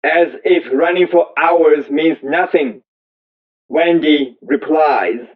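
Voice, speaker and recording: A man reading a first-person story aloud as scripted narration, in slow, deliberate, measured phrases. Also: fairly high pitch. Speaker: audiobook reader. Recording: thin, phone-like narrow-band audio.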